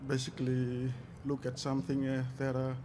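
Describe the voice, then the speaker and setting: A man giving unscripted interview answers at a live event, halting and hesitant with many pauses. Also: medium pitch, monotone, warm tone, slight background noise. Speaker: athlete being interviewed.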